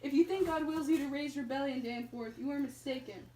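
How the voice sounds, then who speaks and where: A woman's voice: high voice, fairly quick, a little mellow, recorded from a distance, natural voice. A woman acting an earnest, defiant male reverend in an amateur puppet play. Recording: echoey room, away from the mic.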